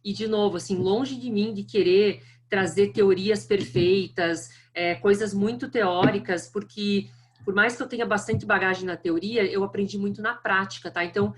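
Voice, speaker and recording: A middle-aged woman speaks with a strong southern Brazilian accent, her pitch sitting in an ordinary middle range. She gives a guest lecture in a friendly, conversational way, often checking in with her listeners. It comes through a video call. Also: steady tone, moderate pace, a little hiss or hum. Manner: friendly, chatty, frequent "tá?" and "né". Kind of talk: online lecture.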